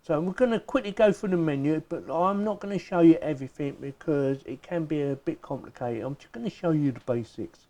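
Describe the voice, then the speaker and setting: Mellow higher-pitched English man reviewing gadgets. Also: fairly quick, hardly any pauses. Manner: chatty, informal, plain-spoken. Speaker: product reviewer. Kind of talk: casual hands-on product review.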